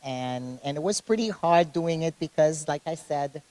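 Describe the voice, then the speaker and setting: A woman's voice: low voice, animated, normal speed, mellow, no room sound, low-quality recording. A woman with a Caribbean accent, raised in Haiti, chatting as an interview guest in a gym, laughing often and restarting her sentences. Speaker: interview guest, fitness competitor. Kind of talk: casual interview chat.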